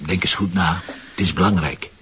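A low-voiced Flemish police inspector. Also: male voice, moderate pace, fairly bright tone, slight background noise. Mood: probing. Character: probing, insistent male police inspector. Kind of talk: broadcast crime drama acting. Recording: dull lo-fi sound, music behind.

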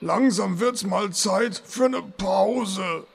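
A man playing a grumpy, sarcastic turtle with a raspy, gravelly voice in a comedic fantasy radio play. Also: high voice, drawn-out words, full character voice. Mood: exasperated. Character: grumpy, sarcastic, very slow male turtle mount.

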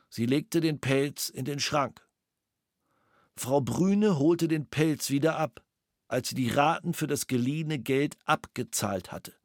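An actor reading the witness statement of a former soldier and gunsmith, sober and matter-of-fact. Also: male voice, fairly high pitch, no echo, studio quality. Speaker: reader of interrogation protocols.

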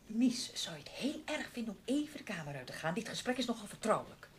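A woman's voice: a little high, sing-song, quick pace, heavy background noise. An actress playing an eager, evasive woman journalist in a Dutch radio play, hesitant and flustered. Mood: flustered, urgent.

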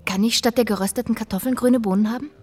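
An actress playing a young, inquisitive and exacting investigator in a German radio drama, self-assured in manner. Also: female voice, on the high side, steady tone, fast, a little mellow, faint music behind, lightly acted. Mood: brisk, exacting.

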